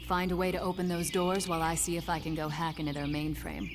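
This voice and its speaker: Female agent for a game: actress playing cool, guarded, businesslike, slightly low voice, music behind. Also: level tone, quick pace, lightly acted. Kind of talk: video game voice acting.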